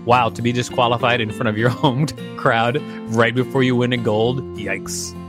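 A man's voice: mid-range voice, steady tone, normal speed. Man narrating an article over guitar music. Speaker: host reading an article aloud. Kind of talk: scripted narration with brief casual asides.

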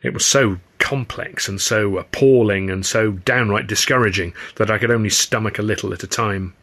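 A man's voice: fairly low pitch, fairly even intonation, moderate pace, fairly bright tone. A man narrating his own first-person novel as an audiobook.

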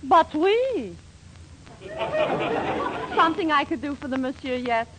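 An actress playing a brassy, middle-aged wisecracking shopkeeper with a New York Yiddish accent, in 1940s radio comedy style. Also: female voice, high-pitched, takes it slowly, crowd noise, heavily acted. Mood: teasing, wry. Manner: wisecracking, teasing, animated. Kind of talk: 1940s radio sitcom acting. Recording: noisy old radio recording, studio audience.

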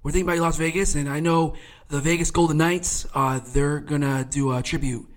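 A man's voice: a little high, fairly even intonation, a little fast. A man in his late twenties co-hosting a casual sports podcast, chatty and rambling, with plenty of fillers and frequent laughter. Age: 20s. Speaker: podcast co-host.

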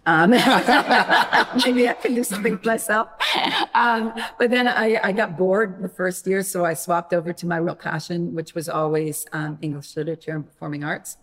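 A middle-aged woman with a low, deep voice tells her story in a relaxed interview. She talks animatedly, restarting her sentences and laughing often, like a guest on a chat podcast. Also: normal speed. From Maine, USA. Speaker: podcast guest, wine critic.

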